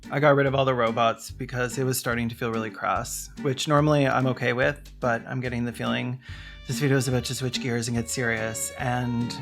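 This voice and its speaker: A video essayist narrates scripted commentary in a mid-range voice. The delivery is theatrical and sardonic, full of dry joking asides. Soft music plays underneath. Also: mid-range voice, flat delivery, fairly quick.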